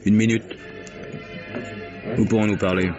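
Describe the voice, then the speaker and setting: An actor playing an insistent, coolly sardonic private detective in a vintage radio crime drama. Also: male voice, lowish voice, little pitch movement, a little slow, music in the background, close to an everyday voice. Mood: measured. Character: dogged hired private detective, probing and insistent. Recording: dull lo-fi vintage radio recording.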